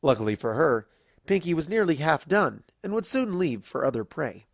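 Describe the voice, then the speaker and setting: A man's voice: on the high side, big pitch swings, moderate pace, dry recording, studio quality. A man reading a scripted story aloud as its narrator.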